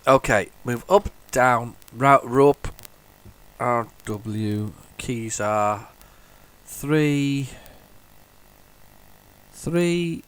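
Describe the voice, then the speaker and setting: A man hosting a retro gaming video, talking casually while loading old tapes, with a head cold and a rambling, restart-filled way of talking that jumps into excited bursts. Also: medium pitch, drawn-out words, pauses often, smooth. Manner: rambling, chatty, lots of restarts and sudden excited outbursts. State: has a head cold, sniffly.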